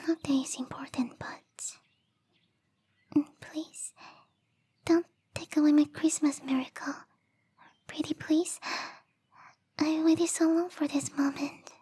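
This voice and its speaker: A woman voicing a lonely, bubbly young female snow spirit, soft and whispery, in a sweet, pleading ASMR roleplay. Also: sits high.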